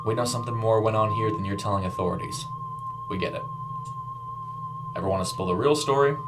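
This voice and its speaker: A man's voice: on the low side, huge pitch swings, brisk, music in the background. A man playing an assured, secretive male investigator, knowing and persuasive, in a horror audio story.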